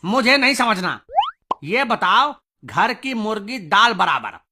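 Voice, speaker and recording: A man's voice: high voice, very animated, slow pace, noisy background, exaggerated voice. A man voicing an exasperated, scolding male schoolteacher in a comedy cartoon. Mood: stern, baffled. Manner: stern, scolding. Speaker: teacher. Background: music.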